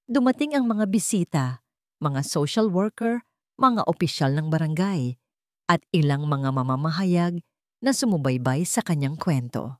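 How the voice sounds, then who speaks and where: A woman narrating an audiobook story in a deliberate, emotive, dramatic style with pauses for effect. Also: fairly low pitch, a little mellow, no echo, clean studio sound.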